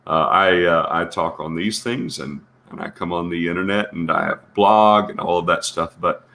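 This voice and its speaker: A man chatting as a guest on a live stream, halting and restarting often, his voice a little gravelly and congested from a sinus infection. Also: deep voice, pushed and bright. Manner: hesitant, halting with repeated starts. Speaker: guest co-panelist, content creator. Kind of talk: casual live stream chat.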